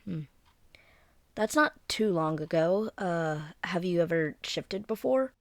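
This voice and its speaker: A woman's voice: on the low side, lively intonation, slow, no room sound. A woman playing a friendly, flustered young werewolf in an audio roleplay, explaining things in a hesitant, informal, rambling way. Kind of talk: scripted one-sided roleplay audio drama, conversational.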